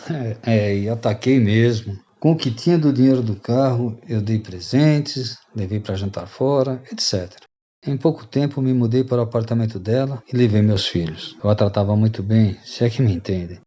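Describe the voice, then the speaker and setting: Actor as mellow Brazilian man confessing a roguish past. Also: on the low side, normal speed, lo-fi sound, natural voice. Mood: rueful. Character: repentant rogue and former freeloader confessing to friends. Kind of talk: radio drama storytelling.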